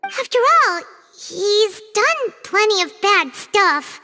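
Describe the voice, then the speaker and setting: A woman voicing a tiny, blunt, curious fairy companion in a video game, squeaky and childlike. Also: sing-song, slow pace, sharp tone, slight background noise, full character voice. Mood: frank, curious.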